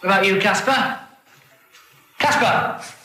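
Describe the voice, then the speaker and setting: Man acting a high, hectoring exasperated schoolteacher. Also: slow, soft background music, heavily acted. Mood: exasperated scolding. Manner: sharp, scolding, hectoring. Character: stern, exasperated schoolteacher scolding a pupil. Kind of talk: film dialogue. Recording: echoey, noisy old film clip.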